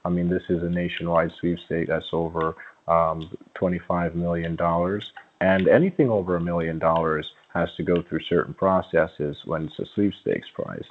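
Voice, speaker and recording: A man on a phone call, talking in a coaxing, agreeable sales manner with hesitant pauses. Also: sits low, slight background noise. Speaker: phone caller pitching a sweepstakes prize. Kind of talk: conversational phone sales talk. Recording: muffled, narrow-band phone call.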